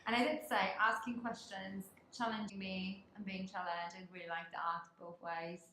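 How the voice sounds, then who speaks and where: A woman's voice: mid-range voice, level tone, moderate pace, reverberant, a little hiss or hum, low-quality recording. A woman with a Polish accent hosting a casual workplace interview, cheerful and asking questions. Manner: cheerful, asks questions warmly, laughs easily. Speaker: host.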